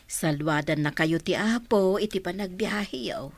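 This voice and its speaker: A woman's voice: on the low side, unhurried. A woman playing a kindly, welcoming mother from the countryside in an audio drama. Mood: fond, warm. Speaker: voice actor. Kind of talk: radio-style drama acting.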